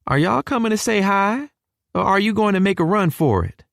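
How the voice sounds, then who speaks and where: Man doing a Texan mom, Southern accent, motherly, welcoming, lifted lilting pitch, storybook character. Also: expressive, moderate pace, gravelly, dry recording, studio quality. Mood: playful. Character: warm, welcoming middle-aged Texan mother.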